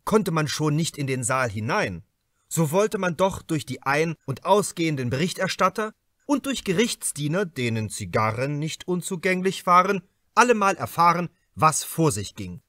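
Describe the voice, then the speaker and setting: A man narrating a crime novel as an audiobook, in measured, deliberate phrases with dramatic emphasis. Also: on the high side, sing-song, sharp tone, no echo, studio quality.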